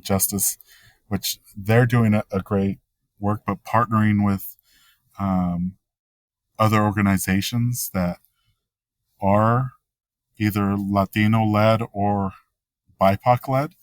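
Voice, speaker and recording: Guest, man from the United States, Spanish native, measured and thoughtful, very deep voice. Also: dry recording. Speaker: podcast guest. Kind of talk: interview answers.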